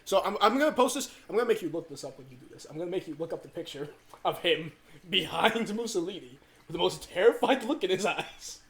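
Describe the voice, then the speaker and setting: A man co-hosting a casual podcast, laughing often and telling crude, lively stories. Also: high-pitched, fast talker, crowd noise. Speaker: podcast co-host. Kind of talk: casual banter.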